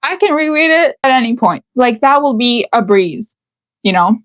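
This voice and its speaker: A woman's voice: fairly high pitch, lively intonation, moderate pace, a bit bright, no room sound. Chatty young woman, dry close sound. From Canada. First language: Russian. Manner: chatty, self-deprecating, lots of fillers and repeats. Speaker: book channel host. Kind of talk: casual chat to camera.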